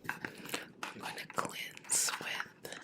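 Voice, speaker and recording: A woman whispering a soothing ASMR energy healing session in a breathy, unvoiced voice, gently repeating short phrases. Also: very slow. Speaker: ASMR reiki energy healer.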